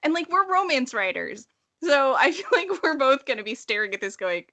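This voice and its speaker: A woman's voice: high-pitched, big pitch swings, moderate pace, sharp tone. A woman in her thirties co-hosting a casual book discussion, speaking in an emphatic, exasperated way. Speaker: podcast co-host; romance writer.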